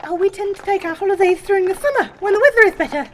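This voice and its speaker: Audio drama: folksy, wary carter, played by a woman, high-pitched, rambling dialect, faint background noise. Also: expressive, racing. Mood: folksy, warm. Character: kindly rustic male Cornish cart driver, giant, wary. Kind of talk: comic audio drama acting.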